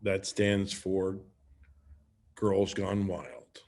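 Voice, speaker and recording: A man's voice: deep voice, a little mellow, full character voice. A man playing a sheepish, evasive, affectionate sitcom husband who stammers and hedges through a comedic script read. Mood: awkward, dodging. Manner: stammering, halting, hedging. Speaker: sitcom actor at a cast reunion table read.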